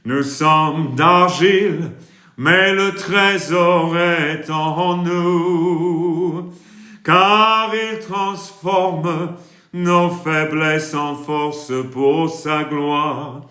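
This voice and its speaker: A preacher from England with a British accent, leading a worship livestream. He sings drawn-out hymns and breaks into brisk, chatty replies to viewers. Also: on the high side, fairly even intonation, bright, forceful tone, echoey room, faint music behind. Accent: British English.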